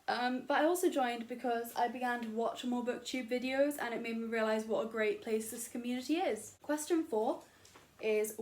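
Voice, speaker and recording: A young woman chatting casually to camera about books in her bedroom, rambling and self-deprecating with lots of fillers. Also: on the high side. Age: young adult. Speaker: booktuber. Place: bedroom at home.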